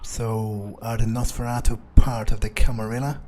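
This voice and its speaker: A man roleplaying a self-assured, inquisitive fledgling vampire in a game playthrough, speaking in a halting, musing way. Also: medium pitch, flat delivery, a little mellow, slight background noise, natural voice. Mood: skeptical. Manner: halting, musing, hesitant pauses. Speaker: gameplay video player. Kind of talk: in-character roleplay commentary.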